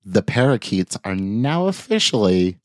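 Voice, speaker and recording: Animated low-voiced man, dry close mic. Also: a little slow, no room sound. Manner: animated, laughs often, acts out silly voices. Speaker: podcast co-host. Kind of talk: casual comedic chat.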